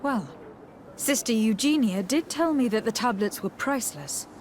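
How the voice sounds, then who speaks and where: A woman voicing a polite, inquisitive young noblewoman diplomat in a video game, speaking in a measured, formal way. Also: a little high, expressive, slight background noise, close to an everyday voice. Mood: measured, inquiring. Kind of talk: video game dialogue acting.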